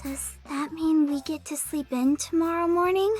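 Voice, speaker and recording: A man's voice: extremely high pitch, fairly even intonation, normal speed, a little mellow, faint music behind, voice pushed up. A man voicing a sleepy, stubborn young girl in a visual novel, groggy and droopy.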